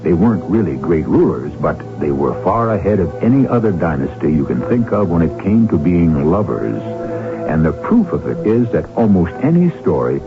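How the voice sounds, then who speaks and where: A deep-voiced man introduces a radio drama as its host. He narrates in a measured, wry storytelling style, on a muffled vintage broadcast recording. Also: sing-song, over music. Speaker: host of a radio mystery series.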